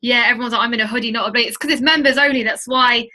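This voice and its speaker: A woman's voice: a little high, fairly even intonation, very fast, pushed and bright. A woman hosting an informal online training webinar, chatty and emphatic, urging her listeners on as she talks to them directly. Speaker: webinar host and trading mentor.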